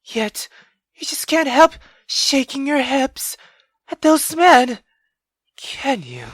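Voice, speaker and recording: Woman acting furious, cruel mother, wildly swinging pitch. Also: sing-song, takes it slowly, dry recording, studio quality, heavily acted. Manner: menacing, scolding, ranting. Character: furious, cruel, bitter mother berating her daughter. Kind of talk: dramatic visual novel reading.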